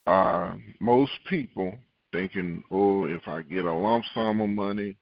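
A man preaching a sermon, reading scripture and acting out worried believers in a raised voice, repeating key lines slowly for emphasis. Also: sits low, vocal fry, no room sound, lo-fi sound. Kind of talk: podcast sermon.

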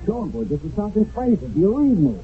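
An actor playing a commanding army sergeant on patrol, urgent and decisive, in a radio drama recorded from an old broadcast. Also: male voice, high voice, very animated, quick pace, phone-quality sound, faint music behind. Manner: urgent, decisive, giving orders.

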